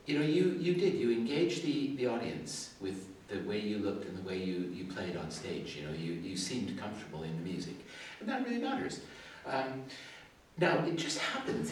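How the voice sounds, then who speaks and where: A man's voice: deep voice, a little hiss or hum. An older man with a Suffolk English accent tinged by Canada, giving chatty, digressive feedback as a competition adjudicator in a school music hall. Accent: Suffolk English with Canadian traces. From England, early life in Canada. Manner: chatty and digressive, self-deprecating asides. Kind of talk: spoken feedback to performers. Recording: very echoey hall, distant mic.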